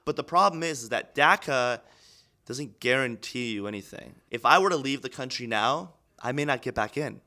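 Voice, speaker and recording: A man in his twenties, born in Estonia, speaks in a documentary interview. His voice is bright and forceful. He tells his story candidly, dropping low in reflective moments and surging with energy when fired up. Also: animated, normal speed. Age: 20s. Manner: candid, earnest storytelling, swings between quiet and fired up. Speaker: aspiring pro wrestler.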